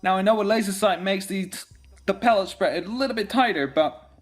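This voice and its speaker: Higher-voiced man commentating esports, non-native English speaker. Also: brisk. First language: not English. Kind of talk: live play-by-play commentary with casual banter.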